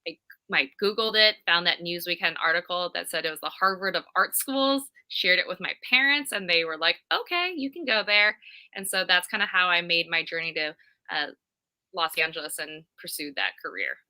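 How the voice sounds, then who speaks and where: A woman's voice: fairly low pitch, expressive, fairly quick, bright, forceful tone. A woman with a Californian accent, talking casually as a guest in an interview. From California, United States. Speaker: interview guest, creative director.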